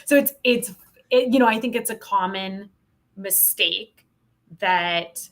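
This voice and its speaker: A woman's voice: medium pitch, slow pace, a bit bright. A middle-aged woman speaking candidly and emphatically as a guest in a conversational podcast interview, with a wry edge. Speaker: podcast guest, sales trainer.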